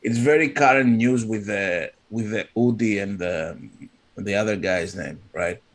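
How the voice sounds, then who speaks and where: A man's voice: on the low side, a little slow. A native Greek man with a Greek accent, casually hosting a livestream chat. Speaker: livestream host.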